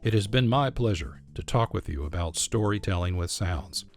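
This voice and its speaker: An American man hosting a radio program, reading scripted narration in a measured, clearly phrased manner. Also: on the low side, expressive, a little mellow. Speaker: radio host and producer. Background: music.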